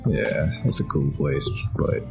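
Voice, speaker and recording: A man hosting a podcast, chatting in a relaxed, slangy way and chuckling often. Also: extremely low pitch, slow, vocal fry, over music. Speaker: podcast host. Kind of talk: casual chat.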